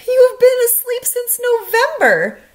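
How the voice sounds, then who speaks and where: An eager little frog fellow from a storybook, played by a woman, pitched very high. He is chirpy and coaxing, swinging up and down as he urges his sleepy friend along with emphatic words. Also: wildly swooping pitch, a little slow, heavily acted. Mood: earnest, pleading. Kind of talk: storybook read-aloud character voice.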